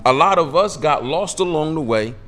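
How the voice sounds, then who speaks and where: A man's voice: fairly high pitch, expressive, moderate pace, fairly bright tone, soft background music. A man in his late forties, a radio interview guest, talking emphatically in street slang and hammering his points with repetition. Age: 40s. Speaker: podcast guest.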